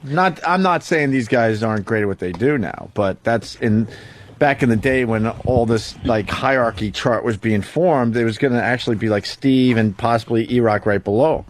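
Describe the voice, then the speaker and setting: A man's voice: mid-range voice, normal speed, slight background noise. Teasing male radio host, mid-range voice. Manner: teasing, needling, profane. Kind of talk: casual radio talk.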